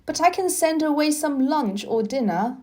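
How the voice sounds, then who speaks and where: A woman voicing a spirited, demanding young boy in a children's storybook, with emphatic, deliberate delivery. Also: sits high, lively intonation, darkish tone, pitched above the performer's own voice. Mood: hungry, plaintive.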